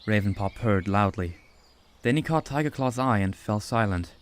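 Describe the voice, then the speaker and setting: Male narrator, storybook narration, steady, lowish voice. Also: moderate pace.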